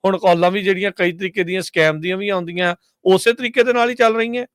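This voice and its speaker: A man's voice: high voice, steady tone, quick pace, fairly bright tone, smooth, dry recording, studio quality. A man taking part in a casual radio discussion. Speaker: radio show participant.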